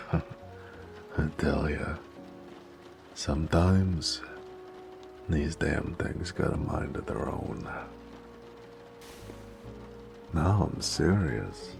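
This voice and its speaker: A man's voice: extremely low pitch, creaky, heavily acted. A man playing a flirty, cocky mafia wheelman and former cabbie in a noir audio roleplay, with an American accent and a teasing, slangy tough-guy drawl. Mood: bored, teasing. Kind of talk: romantic noir audio roleplay, intimate one-sided dialogue. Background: music.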